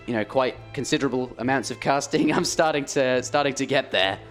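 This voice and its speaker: A man's voice: mid-range voice, a little fast, soft background music. A man casting a live esports match in a studio, chatty, his voice strained after days of commentary. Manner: chatty, reads viewer messages aloud. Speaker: esports caster.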